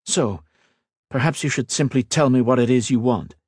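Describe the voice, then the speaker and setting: A man voicing an earnest, calm male mage lord who speaks evenly and respectfully. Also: medium pitch, normal speed, breathy edge, dry recording, studio quality, pitched above the performer's own voice, natural voice. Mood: persuading.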